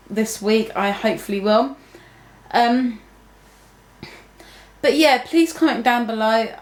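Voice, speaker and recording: A young woman talking casually to her viewers, rambling with many fillers and restarts. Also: a little high. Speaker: vlogger. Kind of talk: casual talk to camera. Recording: echoey room.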